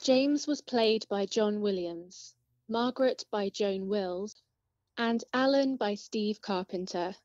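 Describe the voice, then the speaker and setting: A woman reads scripted credits. She speaks slowly at a middle pitch. The recording is dry and a little muffled. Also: a little mellow, no room sound. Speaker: credits announcer. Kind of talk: scripted narration.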